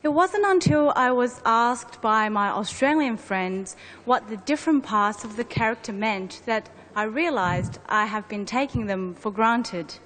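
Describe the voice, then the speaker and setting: Chinese-native woman explaining in a hall. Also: on the high side. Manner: explanatory, careful, addresses her co-presenter. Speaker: conference presenter. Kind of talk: scripted conference presentation. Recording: long hall reverb.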